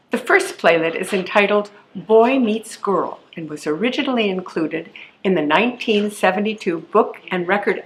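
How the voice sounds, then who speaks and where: Female presenter for a theatre programme, medium pitch, scripted introduction, faint background noise. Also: fairly bright tone, low-quality recording.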